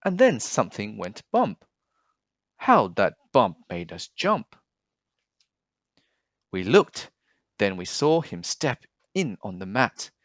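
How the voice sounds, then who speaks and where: A man reading a children's storybook aloud as an expressive narrator, with dramatic, deliberate pauses. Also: fairly low pitch, no room sound, muffled recording.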